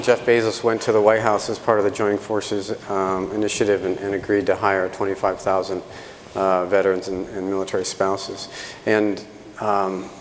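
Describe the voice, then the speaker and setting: A man on a conference panel, speaking in a measured way and restarting phrases. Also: on the low side, slight background noise. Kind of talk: panel discussion. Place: conference hall. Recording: reverberant hall, faint ambience.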